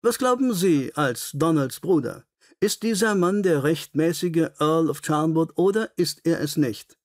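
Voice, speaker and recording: A man voicing a keen, courteous, self-assured Victorian detective in an audiobook, formal, brisk and inquisitive. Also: on the high side, expressive, no echo, studio quality.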